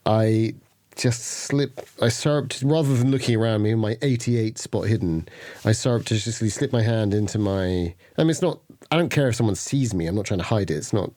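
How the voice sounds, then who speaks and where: A man playing a tabletop game, describing his character in a musing, drawn-out way. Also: on the low side, warm tone. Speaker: player. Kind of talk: in-character roleplay narration.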